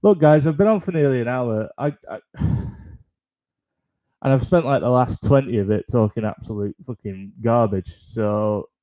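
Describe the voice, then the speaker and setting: A man hosting a live comedy stream, rambling in a flat deadpan tone, with lots of ums, restarts and swearing. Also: mid-range voice, moderate pace. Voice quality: deadpan, flat-toned delivery. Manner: self-deprecating, frequent ums and restarts, sweary. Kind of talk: rambling stand-up style chat on a live stream.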